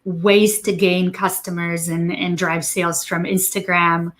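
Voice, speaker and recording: A woman from Romania speaking as an interview guest, conversational and a bit halting as she repeats words while thinking. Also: lowish voice, flat delivery, fairly bright tone. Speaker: interview guest, digital marketing agency president.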